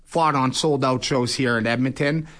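Casting: male athlete as interview guest, mid-pitched, conversational, tags lines with "right?". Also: fairly even intonation, moderate pace, a bit bright. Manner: conversational, frequent "you know" and "right?" tags. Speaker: guest, professional boxer. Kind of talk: casual interview storytelling.

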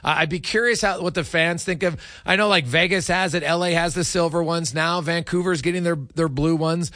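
A man hosting a casual sports talk show, speaking in a halting, repetitive way. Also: fairly high pitch, fairly even intonation, bright, forceful tone, no room sound, studio quality.

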